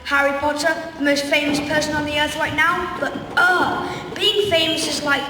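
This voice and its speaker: A woman's voice: high voice, steady tone, normal speed, natural voice. A woman playing a vain, self-absorbed famous schoolboy wizard with a boastful teenage drawl in a comic amateur play, recorded in an echoey hall. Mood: smug, bored. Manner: boastful, drawling teen "like" talk. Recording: echoey hall, distant mic, faint noise.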